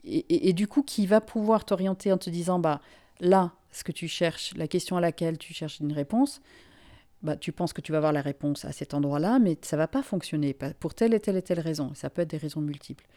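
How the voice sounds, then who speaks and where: A woman from Finland speaks in a low, mellow voice. She answers interview questions thoughtfully and often circles back over her own words while she works out a point. She sounds like a journalist in her forties talking through her work. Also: brisk. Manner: reflective, frequent restarts and repeated words. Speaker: freelance journalist, guest.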